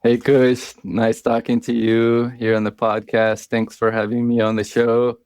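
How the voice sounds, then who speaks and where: A man from Brazil, a climber, answering interview questions in a casual, low-key way from a friend's house. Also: completely flat delivery, a little slow, darkish tone, clear, resonant tone, no echo. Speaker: climber guest.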